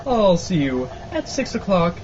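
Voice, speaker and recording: A man's voice: sits high, big pitch swings, unhurried. A man playing a hearty, self-important tycoon in an old-time radio comedy. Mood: hearty, scheming. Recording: muffled, distant live recording, background noise.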